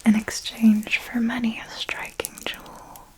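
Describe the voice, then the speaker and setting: A woman playing an elegant, seductive vampire mentor in a whispered ASMR roleplay, speaking in a deliberate, intimate and formal way. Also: fairly high pitch, level tone, darkish tone, lightly acted. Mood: instructive, composed. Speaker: ASMR performer.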